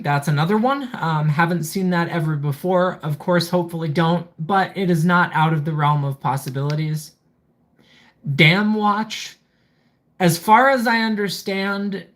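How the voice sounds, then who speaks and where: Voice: mid-range voice, a bit bright. Methodical livestream gadget reviewer. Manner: methodical, reading items aloud then commenting. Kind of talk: casual live product walkthrough.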